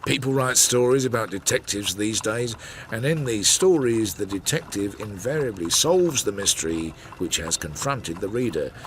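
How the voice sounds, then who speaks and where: Male narrator actor for radio drama: reflective Victorian investigator, low register with wide inflection, understated delivery. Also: lively intonation, normal speed, a little hiss or hum, natural voice. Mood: measured, wry. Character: measured Victorian investigator recounting a failed case.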